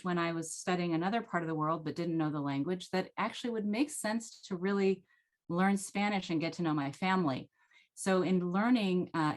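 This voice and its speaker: A middle-aged American woman telling her story as a panelist on a video call from home, animated and chatty. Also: deep voice. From United States. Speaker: panelist, former Fulbright scholar. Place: home room, indoors.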